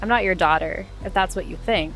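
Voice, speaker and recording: A woman reading the part of a young vigilante heroine in a comedic script reading, deadpan and clipped. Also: fairly low pitch, brisk, soft background music, close to an everyday voice, rough recording. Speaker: guest reader.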